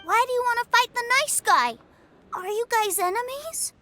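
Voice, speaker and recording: A woman voicing an eager, innocent little boy in an English game dub, wide-eyed and excitable. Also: very high voice, moderate pace, faint music behind, exaggerated voice. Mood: puzzled.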